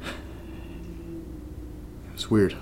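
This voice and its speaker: A man playing an uneasy young man who reads strange letters aloud in a horror audio drama, halting and brooding. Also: expressive, warm tone. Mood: troubled, uneasy. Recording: faint low rumbling noise.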